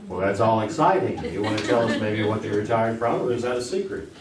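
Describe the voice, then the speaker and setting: Echoey, animated male quiz show host. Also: fairly low pitch, fast talker, a crowd in the background. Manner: joking, wisecracking. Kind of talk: jokey old-time radio quiz show hosting. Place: live stage before an audience. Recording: roomy, distant, noisy stage recording.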